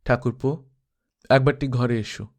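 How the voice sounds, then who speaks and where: A man's voice: mid-range voice, steady tone, unhurried, lightly acted. A man voicing a passionate, possessive married woman, intense and coaxing, in a dramatised audiobook reading. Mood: tender, pleading.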